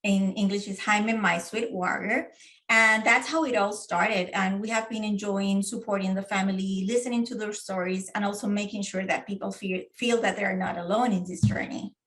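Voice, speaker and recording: A middle-aged woman speaks as an interview guest. Her voice sits at an ordinary middle pitch, and she talks earnestly, often pausing on fillers and restarting her phrases. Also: steady tone, fairly bright tone, low-quality recording. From Puerto Rico. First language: Spanish. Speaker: guest, diabetes advocate and parent. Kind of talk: casual interview.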